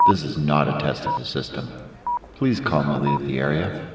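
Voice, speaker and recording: A man plays an automated emergency announcer for an audio drama city. The voice is extremely deep, formal and impersonal, booming through a loudspeaker. It sounds far off, drenched in echo. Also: moderate pace. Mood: impersonal, official. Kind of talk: public address announcement. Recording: echoing, distant, very noisy loudspeaker.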